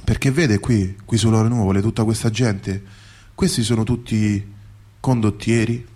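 A man's voice: fairly low pitch, fairly even intonation, measured pace, warm tone. Man playing courteous old Saint Peter, patiently formal. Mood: patient, explaining. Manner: polite, formal, patiently explaining.

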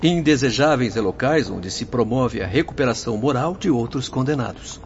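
A stern official of the spirit world acted by a man speaks with grave formality in a radio drama. His pitch is average and the recording is dull, slightly noisy. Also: expressive, normal speed, natural voice. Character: stern male spirit official warning of dangers. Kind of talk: radio soap opera acting.

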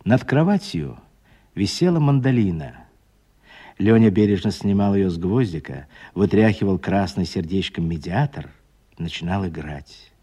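Male radio drama narrator: lowish pitch, very varied intonation, measured literary storytelling. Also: lively intonation, dark tone, slightly rough.

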